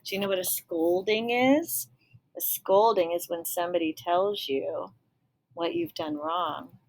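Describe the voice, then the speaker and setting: A woman's voice: mid-range voice, lively intonation, a little slow, gravelly, a little mellow, poor audio. A woman reading a children's picture book aloud expressively, with explanatory asides to young listeners. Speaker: storybook reader.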